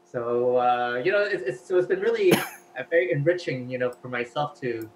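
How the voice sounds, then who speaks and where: A man's voice: mid-range voice, expressive, moderate pace, a little hiss or hum, poor audio. A man whose first language is Cantonese, chatting as an interview guest and explaining at length with many fillers. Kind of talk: casual interview talk.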